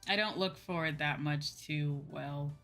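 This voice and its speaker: Female streamer, lowish voice, informal rambling chat over clear background music. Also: steady tone, measured pace, fairly bright tone. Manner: informal, conversational, frequent "like" and "you know". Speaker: VTuber livestream host. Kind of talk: casual livestream chat with viewers.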